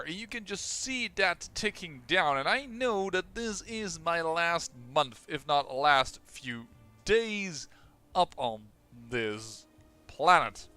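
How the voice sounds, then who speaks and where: A young man with a Dutch accent, casually commentating his own strategy game playthrough, excitable and quick, often restarting his sentences. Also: a little high. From Netherlands. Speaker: let's play host.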